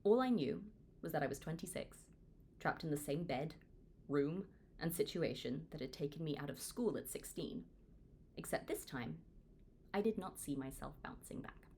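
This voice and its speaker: A woman in her twenties from Hong Kong, reading her own book aloud as an earnest, lively audiobook narrator. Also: moderate pace, slight background noise. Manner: earnest, lively reading with wide pitch swings. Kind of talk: audiobook read-along narration.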